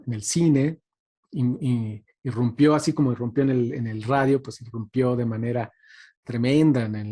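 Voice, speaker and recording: A man's voice: mid-range voice, mellow. A Mexican-accented man lecturing informally, reflective and hesitant, often checking in with tag questions. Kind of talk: informal academic talk.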